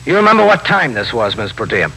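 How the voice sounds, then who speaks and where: An actor in a 1950s radio drama playing a methodical, matter-of-fact police captain who narrates and questions in a measured way. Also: male voice, medium pitch, very animated, creaky, sharp tone, full character voice. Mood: persistent, methodical. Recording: noisy vintage radio broadcast recording.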